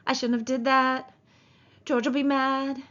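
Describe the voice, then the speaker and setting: A woman voicing a big, slow-witted, childlike man who is gentle and easily frightened, speaking in a drawling rural way. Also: sits high, level tone, muffled recording, pitched above the performer's own voice. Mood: fearful. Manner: drawling rural speech, pleading.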